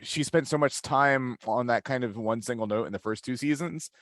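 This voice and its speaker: A man's voice: medium pitch, moderate pace, a bit bright, no echo. Man thinking aloud, dry close sound. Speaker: podcast panelist. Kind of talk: casual panel discussion.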